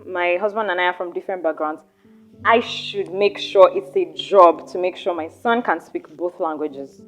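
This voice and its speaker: A young woman from Nigeria hosting a casual podcast chat, animated and chatty, often trailing off and restarting her thoughts. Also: fairly low pitch, moderate pace, soft background music. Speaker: podcast host.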